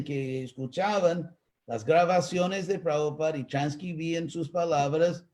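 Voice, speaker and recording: Slow-spoken American man teaching. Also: on the high side, a little mellow. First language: English. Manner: slow, searching for Spanish words with pauses. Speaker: spiritual teacher. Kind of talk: live online talk answering questions.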